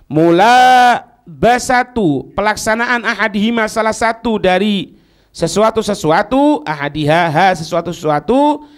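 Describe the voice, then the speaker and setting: A man's voice: high-pitched, lively intonation, a little slow. A man with an Indonesian accent giving an Islamic lesson, reading a text and explaining it didactically with repeated phrases and checks on understanding. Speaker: Islamic teacher.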